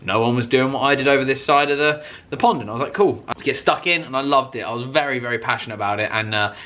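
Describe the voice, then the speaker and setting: British male car vlogger, bright punchy voice, casual rambling storyteller, keen, slangy and sweary. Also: medium pitch, fast talker, a little hiss or hum.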